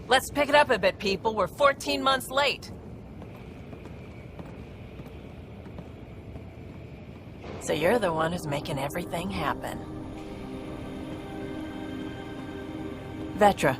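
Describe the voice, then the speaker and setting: Woman doing a deep-ish female alien fixer from a space game, brisk n confident, streetwise, music under it. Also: close to an everyday voice. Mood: brisk, impatient. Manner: brisk, matter-of-fact, streetwise. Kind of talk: video game cutscene acting.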